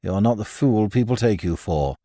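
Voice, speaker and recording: A man voicing a languid, smug young man laid up in bed, who speaks in a quiet, slow, patronizing drawl. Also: on the low side, lively intonation, no echo, studio quality.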